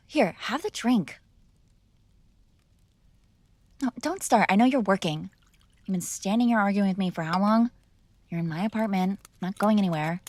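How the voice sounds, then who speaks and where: A woman's voice: medium pitch, normal speed, darkish tone, close to an everyday voice. A woman playing a spoiled, defiant mob boss's young daughter in a conversational, argumentative ASMR roleplay. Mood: exasperated, coaxing. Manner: conversational, sighing, coaxing and argumentative. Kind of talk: ASMR girlfriend roleplay, one-sided conversational acting.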